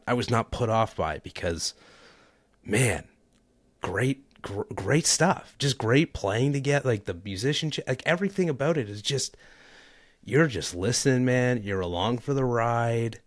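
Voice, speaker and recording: A man's voice: medium pitch, unhurried, no echo. A man in his thirties co-hosting a casual music podcast, talking in a rambling, enthusiastic way with many restarts. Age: 30s. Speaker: podcast co-host. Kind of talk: casual chat.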